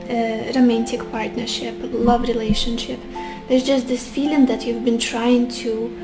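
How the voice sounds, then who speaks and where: Flat-toned tarot reader woman, losing her voice. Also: on the high side. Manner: gentle, addresses viewers warmly as beautiful souls; muses aloud with soft hesitations. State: losing her voice, a little sick. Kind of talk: tarot card reading to the viewer.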